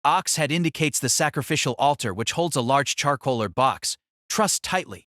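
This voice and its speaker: A man's voice: mid-range voice, animated, normal speed, fairly bright tone, no room sound, clean studio sound. A man narrating a light novel in a dramatic, scripted storytelling style.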